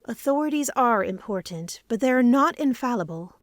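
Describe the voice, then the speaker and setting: A woman playing a wise, patient seer who instructs in a measured manner in a medieval fantasy audio drama. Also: fairly high pitch, animated, no echo, natural voice. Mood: gently instructive.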